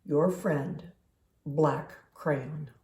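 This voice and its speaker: A woman's voice: sits low, fairly even intonation, extremely slow, a little mellow, pitched below the performer's own voice, heavily acted. A woman voicing an aggrieved storybook crayon who protests emphatically and indignantly about unfair treatment. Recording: roomy, echoing home recording.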